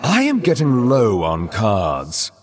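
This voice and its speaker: An actor playing a haughty, sadistic vampiric lord in a video game, with theatrical, pompous, aristocratic delivery. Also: male voice, on the low side, huge pitch swings, slow, heavily acted. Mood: brooding, mocking. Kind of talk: video game character voice lines.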